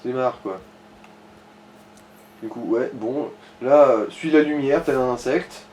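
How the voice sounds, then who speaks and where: A man's voice: medium pitch, slow pace, darkish tone, a little hiss or hum. A man chatting casually and colloquially as he guides someone through a video game, with some swearing. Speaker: co-commentator guiding the player. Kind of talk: casual gaming chat.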